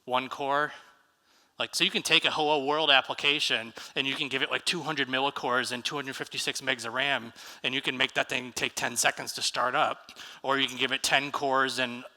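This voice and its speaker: A man's voice: mid-range voice, steady tone, moderate pace, bright, forceful tone. An American man giving a casual conference talk on stage, asking the audience for shows of hands. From United States. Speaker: conference speaker. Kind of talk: live tech conference talk. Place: large conference hall stage. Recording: reverberant hall.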